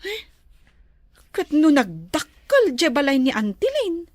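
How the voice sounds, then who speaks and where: A woman's voice: high voice, wildly swooping pitch, takes it slowly, a little mellow, no echo, full character voice. A woman playing a naive, eager young single mother, plaintive and questioning, in an Ilocano radio drama. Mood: hopeful, questioning.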